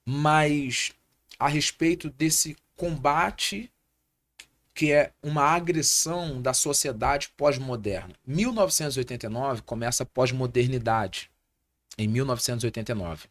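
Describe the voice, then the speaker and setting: A man's voice: mid-range voice, a little slow, a bit bright. A man in his thirties with a Brazilian Portuguese accent, a pastor speaking as a podcast guest in a didactic, sermon-like way, repeating key points for emphasis. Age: 30s. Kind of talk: podcast interview with sermon-like teaching.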